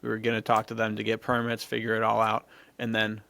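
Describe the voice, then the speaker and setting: A man's voice: on the low side, completely flat delivery, quick pace, vocal fry, pushed and bright. A young man co-hosting a podcast, telling stories casually with slangy, enthusiastic talk full of "dude". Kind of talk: casual chat, storytelling.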